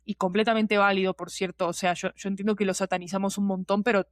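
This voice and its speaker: A woman's voice: mid-range voice, flat delivery, fast talker, no echo. A woman speaking as an interview guest, explaining things at length in a colloquial, emphatic way. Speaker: guest, podcast producer and teacher. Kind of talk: casual explanatory interview talk.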